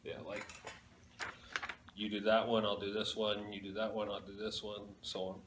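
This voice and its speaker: A man speaking casually as a co-host, in a halting, hesitant way. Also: on the low side, flat delivery. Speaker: show co-host. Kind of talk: casual planning chat. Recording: echoey room, constant noise.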